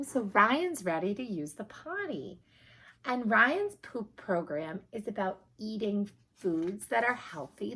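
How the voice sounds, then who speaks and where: A woman's voice: mid-range voice, fairly bright tone, breathy edge. A woman reading a picture book aloud to young children, expressively, with simple explanatory asides. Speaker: children's story reader.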